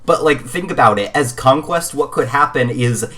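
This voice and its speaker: A man's voice: mid-range voice, moderate pace, sharp tone. A man co-hosting a podcast in a casual gaming chat, rambling and opinionated, with some offhand swearing. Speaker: podcast co-host.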